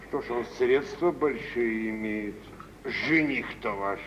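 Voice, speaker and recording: An actor playing a self-important, wealthy older gentleman, pompous and deliberate, in an old theatrical radio drama. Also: mid-range voice, lightly acted. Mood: disapproving, pointed. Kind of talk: 1940s theatrical radio acting. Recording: dull, noisy vintage radio play recording.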